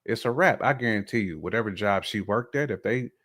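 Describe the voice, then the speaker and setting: Low-voiced American man, slangy talk. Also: brisk, a bit bright. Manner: colloquial, slangy, emphatic repetition. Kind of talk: casual podcast conversation.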